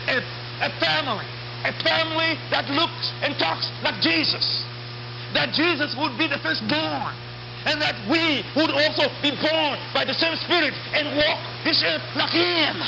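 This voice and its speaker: A man's voice: very high voice, a little slow, creaky. A man preaching an impassioned sermon in emphatic, repetitive short phrases, heard on a shortwave radio broadcast. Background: loud static and hum.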